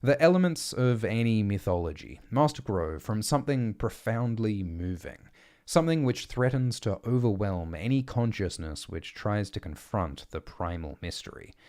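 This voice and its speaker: A man reading essays and letters aloud as an audiobook narrator, in a measured, deliberate and articulate way. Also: lowish voice, animated, darkish tone. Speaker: audiobook reader.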